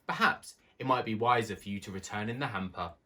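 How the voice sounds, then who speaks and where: A man's voice: lowish voice, a little fast, sharp tone. A man voicing a well-bred, fussy male town mouse in a storybook, formal and condescending. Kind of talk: storybook character voice.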